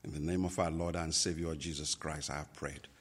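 Nigerian preacher guy, deep husky voice, slow n careful with lots of uh pauses, big echoey church hall. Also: fairly even intonation, a bit bright. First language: Igbo. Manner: slow and deliberate, with many pauses and fillers. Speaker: pastor. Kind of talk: sermon.

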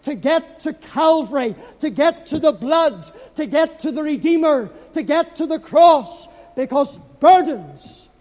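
Male preacher, slow and emphatic, hushed-to-thundering evangelistic delivery, long echo of a big hall. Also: extremely high pitch, expressive. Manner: solemn, emphatic, repeats phrases for effect; swings from hushed to loud, impassioned delivery. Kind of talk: fervent evangelistic sermon.

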